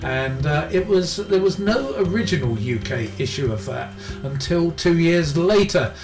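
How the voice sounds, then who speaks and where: A man hosts a soul radio show in a fairly high male voice. His delivery is informal and a little hesitant, with careful attention to record details. Music plays behind him in a roomy sound. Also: lively intonation, measured pace. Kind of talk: casual music radio presenting with record facts.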